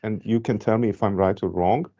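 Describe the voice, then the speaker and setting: A man's voice: lowish voice, steady tone, a little fast, warm tone, lo-fi sound. A man moderating a round table discussion, asking curious, open questions.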